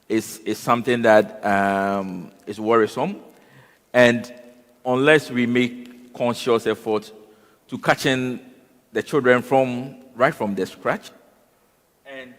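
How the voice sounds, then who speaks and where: Halting man on a panel. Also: medium pitch. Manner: halting, repeats words and fills with "you know" and "um". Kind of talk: panel discussion. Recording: long hall reverb.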